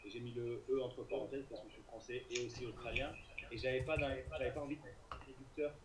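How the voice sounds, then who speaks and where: A French man, a chef and restaurant owner, talking as a guest over a video call in a relaxed, rambling way. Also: mid-range voice, fast talker. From France. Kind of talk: casual interview over a live video call. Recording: echoey room with noise.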